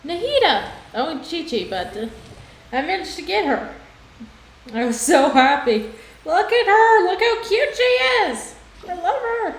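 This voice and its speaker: Unhurried woman streaming a game, echoey. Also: extremely high pitch, big pitch swings, a little hiss or hum. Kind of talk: casual livestream gaming chat. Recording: echoey room, music behind. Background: game music.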